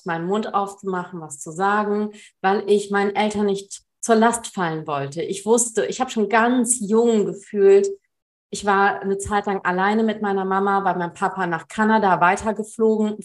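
A woman's voice: mid-range voice, steady tone, normal speed. A woman from Germany, a trainer, telling her personal story as an interview guest.

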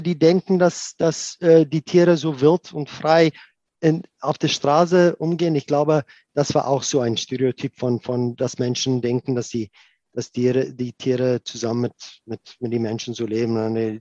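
A middle-aged man from South Africa whose first language is Afrikaans, speaking German with an Afrikaans accent, answering interview questions in an animated way, with many restarts and fillers and the odd English phrase. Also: mid-range voice, dry recording, highs cut off. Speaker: tour operator guest.

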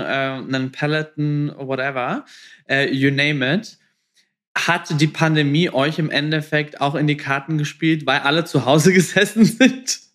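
A man's voice: a little high, fairly bright tone. A man hosting a casual business interview, speaking informally and dropping English phrases into his questions. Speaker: podcast host, interviewer.

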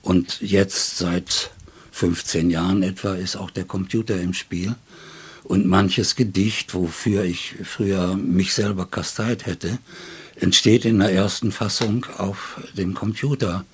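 An elderly man in his seventies talks as an interview guest, low and rough-edged in voice. He reflects and reminisces in deliberate, elaborate phrases broken into short bursts, with a wry touch. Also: steady tone. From Friesland, northern Germany. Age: 70s. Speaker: poet and literary editor, interview guest. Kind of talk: reflective conversational storytelling. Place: indoors, in his home study.

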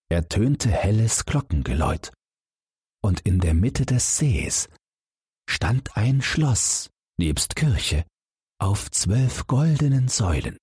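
A man narrating a fairy tale at bedtime, in a calm, soothing reading style. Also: low-pitched, husky, no room sound, studio quality. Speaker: audiobook narrator.

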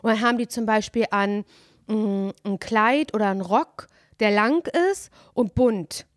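A woman's voice: mid-range voice, measured pace, no room sound, studio quality. A woman co-hosting a casual podcast, chatty and rambling, sick at home with a cough. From northern Germany. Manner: chatty, rambling, lots of "weißt?" tag questions. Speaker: podcast co-host. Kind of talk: casual chat. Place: home, on the couch.

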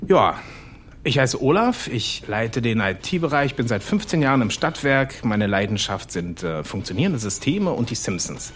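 A geeky, efficiency-minded IT chief in a radio play acted by a man. He speaks bluntly, his pitch jumping widely, with faint ambience in the background. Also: sing-song, moderate pace, a little hiss or hum, lightly acted. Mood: matter-of-fact, demanding. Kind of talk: German audio drama dialogue.

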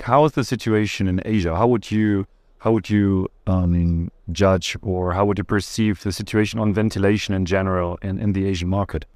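A man co-hosting a podcast, asking questions in a friendly, animated way. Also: low-pitched, no room sound, clean studio sound. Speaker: podcast co-host. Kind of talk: conversational interview.

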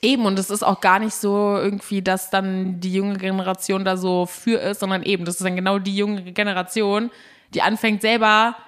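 A woman's voice: medium pitch, level tone, brisk, fairly bright tone. A young woman from Berlin chatting casually as a podcast co-host, slangy and agreeable. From Berlin, Germany. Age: young adult. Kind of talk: casual chat.

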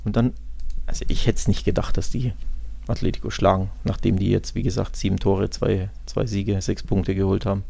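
A man's voice: sits low, little pitch movement, moderate pace, gravelly, dark tone. A man co-hosting a football podcast in casual discussion, talking colloquially and emphatically and often rephrasing himself.

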